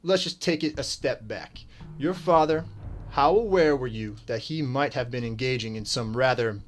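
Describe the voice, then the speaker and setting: A man's voice: medium pitch, normal speed, faint music behind, natural voice. A man playing a firm, businesslike detective in a radio drama, stern and probing as he questions. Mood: pressing.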